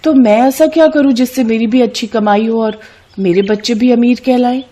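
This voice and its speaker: A woman's voice: on the high side, normal speed, dark tone, close to an everyday voice. Woman playing a humble, honest young village vendor. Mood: anxious. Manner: earnest, polite, contented. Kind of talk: cartoon moral story dialogue.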